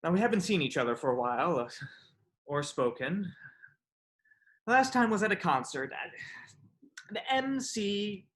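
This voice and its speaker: A man's voice: high voice, expressive, moderate pace, pauses often, fairly bright tone. A man acting a defensive, self-justifying character in a live staged reading, explaining earnestly and hesitantly. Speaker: actor in a virtual staged reading. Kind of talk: live staged play reading, conversational monologue. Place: indoors, streamed over video webinar.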